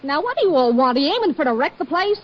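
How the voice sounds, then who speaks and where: A woman's voice: high-pitched, very animated, very fast. A woman playing a wary young backwoods girl with a drawling American hillbilly accent in an old-time radio drama. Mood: wary, stubborn. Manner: drawling, folksy, stubborn. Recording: dull lo-fi old radio broadcast.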